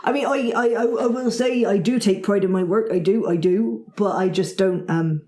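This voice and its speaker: A woman's voice: medium pitch, normal speed, mellow, roomy sound, natural voice. A woman voicing a male goblin alchemist who hobbles around his shop, chatty and fussy, with a hesitant, rambling sales patter. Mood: proud, haggling.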